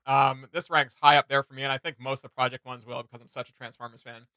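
Male reaction host, thirties, forceful bright delivery, slangy and rambling, dry close sound. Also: mid-range voice, level tone, fast, no room sound. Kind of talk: casual reaction commentary. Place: small indoor home studio room.

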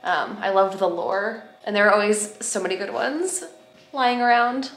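A woman's voice: medium pitch, moderate pace, fairly bright tone. A woman in her thirties chatting casually to a camera at arm's length, with a playful, gushing way of talking. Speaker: vlogger. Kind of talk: casual vlog chat. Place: home kitchen and dining room, indoors. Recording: roomy echo, light background noise.

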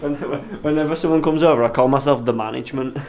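A man's voice: mid-range voice, fast, a little mellow, a little hiss or hum. Curious Northern English man exploring. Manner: curious, pointing things out. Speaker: urban explorer. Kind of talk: casual banter while exploring.

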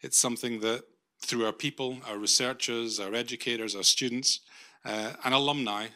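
A man's voice: medium pitch, fairly even intonation, moderate pace, pushed and bright. A middle-aged man, a university leader, giving a formal vote of thanks in a lecture room, speaking into a handheld microphone with many ums and restarts. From not Australia. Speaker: university vice-chancellor.

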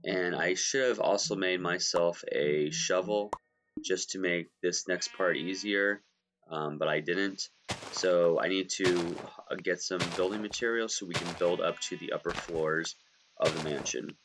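A man with a deep, low voice that is a little hoarse from being sick walks viewers through a game step by step. He talks in a relaxed way, pausing often with ums and restarts. Music plays clearly behind his voice. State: hoarse, recovering from illness. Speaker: gaming guide creator. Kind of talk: walkthrough commentary over gameplay.

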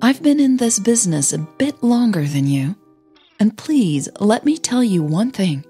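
A woman voicing a confident, elegant female singer with a relaxed, persuasive manner in an audio drama. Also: medium pitch, a little slow, breathy edge, faint music behind, voice pushed up. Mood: friendly, reassuring.

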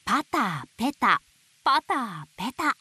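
Gentle sing-song woman reading to babies. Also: a little high, sing-song, slight background noise. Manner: gentle, slow, sing-song with sound words. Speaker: storybook narrator voicing an owl. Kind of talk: children's picture book read-aloud.

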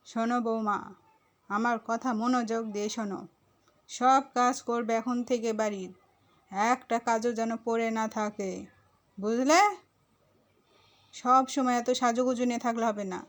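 A woman voicing a bossy, ageing mother-in-law in a cartoon, nagging with sharp questions. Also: fairly high pitch, fairly even intonation, a little slow, long pauses, close to an everyday voice. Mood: commanding.

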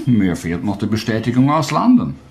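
An actor playing a dry, melancholy British commander in a German radio drama. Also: male voice, on the low side, huge pitch swings, normal speed, darkish tone, breathy edge, full character voice. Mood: dry, composed.

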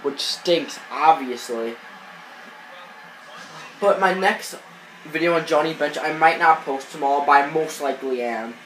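A man's voice: on the high side, unhurried, pauses often, fairly bright tone. A man with a cold, sniffling as he chats through baseball video game footage, rambling with lots of fillers and restarts. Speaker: gaming channel host. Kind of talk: casual gameplay commentary. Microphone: off-screen voice-over on gameplay footage. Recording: echoey room with faint noise.

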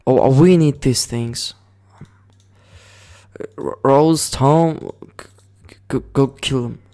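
Excitable young-sounding man gaming, slow talk. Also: mid-range voice. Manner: excitable, swears, shouts at units. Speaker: gaming channel host. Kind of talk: casual gameplay commentary.

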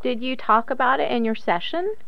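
A woman's voice: high voice, lively intonation, a little fast, gravelly, dry recording, lo-fi sound. An actress playing a blunt, nagging but concerned woman at home in a radio drama. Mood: chiding, concerned.

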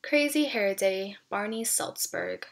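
A woman reading a children's picture book aloud expressively, pausing to explain things to young listeners. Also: medium pitch, huge pitch swings.

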